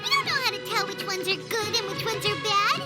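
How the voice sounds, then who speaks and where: A woman voicing a tiny childlike fairy companion in a video game, squeaky, excitable, curious and easily flustered. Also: a little fast. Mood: excited, flustered. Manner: refers to self in third person, exclamatory. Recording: music behind the voice.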